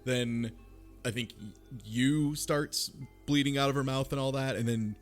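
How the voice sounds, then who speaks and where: A mid-pitched man chatting on a podcast. He is laid-back and explains things at length. Faint music plays in the background. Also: steady tone, measured pace. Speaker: podcast co-host. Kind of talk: casual chat recapping a visual novel.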